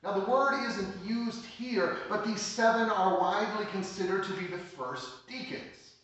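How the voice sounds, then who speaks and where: A man pastor preaching a sermon, explaining scripture in a measured, plain teaching tone. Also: high voice, fairly bright tone, highs cut off. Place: church sanctuary. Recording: echoey church hall, mic at a distance.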